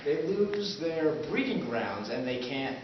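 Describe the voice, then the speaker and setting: A man's voice: fairly high pitch, very animated. Man fumbling through an explainer, heavy echo. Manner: halting, fumbling, vague. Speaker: presenter. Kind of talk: amateur explainer, loosely scripted presentation. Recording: echoey, muffled, noisy, distant camera mic.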